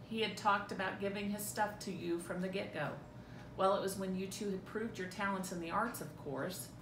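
A woman's voice: fairly even intonation, normal speed. A middle-aged woman doing a dramatic read-through of a script as a mother, recorded indoors at home. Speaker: amateur reader in a family script reading. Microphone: camera a few feet away. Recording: roomy echo, clear low rumbling noise.

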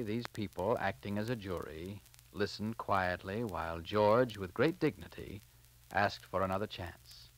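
A man's voice: on the low side, fairly bright tone, low-quality recording. A man announcing on 1940s radio in a scripted, old-time broadcast style. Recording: vintage 1940s radio transcription disc.

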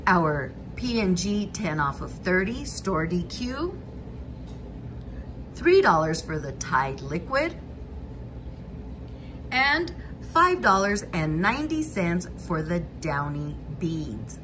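A woman's voice: medium pitch, big pitch swings, takes it slowly, noisy background. A woman hosting a couponing channel, walking through store deals in a methodical voice-over, price by price.

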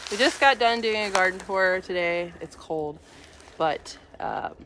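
A young woman hosting a homestead vlog, chatting in a relaxed way with "um" fillers, outdoors in a cold yard. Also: fairly low pitch, a little slow. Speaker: homestead vlogger. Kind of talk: casual vlog narration.

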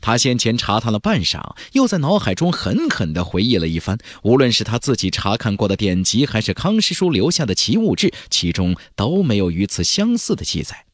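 A man's voice: lowish voice, big pitch swings, fairly quick, hardly any pauses, no room sound, clean studio sound. A man narrating a fantasy audiobook in a dramatic, scripted style.

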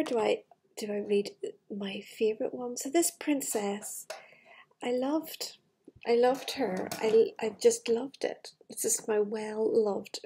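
A middle-aged woman with a breathy voice, reading a fairytale to children with gushing, chatty asides in a living room. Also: a little high, a little hiss or hum. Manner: gushing, wistful enthusiasm for the book. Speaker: children's storyteller. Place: living room with large glass doors. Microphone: device camera at arm's length.

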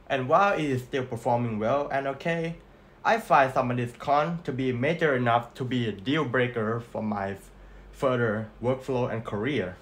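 A young man with a Thai accent, reading a scripted tech review as voice-over and listing specs in an earnest, steady way. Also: medium pitch. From Thailand.

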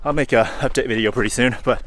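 A man in his forties, hot and sweaty, talking casually to a handheld camera while hiking outdoors, relaxed and rambling with fillers. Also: mid-range voice, fast talker, slight background noise. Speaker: hiking vlogger. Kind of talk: casual vlog talk to camera. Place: outdoors on a forest trail. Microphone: handheld camera held at arm's length.

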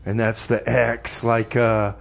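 A man's voice: mid-range voice, flat delivery, slow pace, creaky. A man hosting a radio show with casual chat and comic banter.

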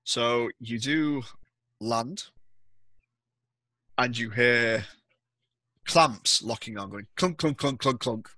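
Guy game mastering a tabletop rpg on a headset, bright voice, no room sound. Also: medium pitch, takes it slowly, long pauses, dry recording. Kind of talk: narrating a tabletop roleplaying game. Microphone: headset on a video call.

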